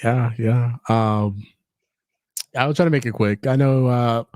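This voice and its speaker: A man's voice: medium pitch, steady tone, takes it slowly, a little mellow, dry recording, studio quality. A man hosting a casual podcast chat, rambling with lots of fillers and restarts. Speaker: podcast host.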